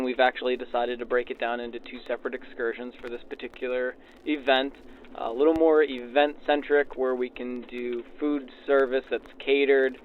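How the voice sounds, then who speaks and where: A man's voice: medium pitch, slight background noise. Man interviewed through tinny old radio sound. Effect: backdated 1940s radio filter. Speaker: railroad passenger services supervisor being interviewed. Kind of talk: informal interview answers.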